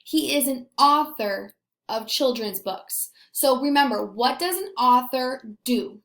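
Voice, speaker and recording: A woman teacher giving an expressive read-aloud lesson, asking young children questions and pausing for answers. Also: sits high. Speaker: elementary school teacher. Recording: echoey room.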